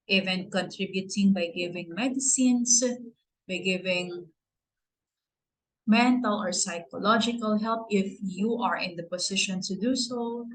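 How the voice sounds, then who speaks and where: A woman with a Filipino accent speaks earnestly in a mid-pitched voice. She talks like a motivational speaker, with a gentle preachiness, and she stops now and then to ask her listeners rhetorical questions. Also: low-quality recording. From Philippines. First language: Tagalog. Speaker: guest speaker. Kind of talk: motivational talk.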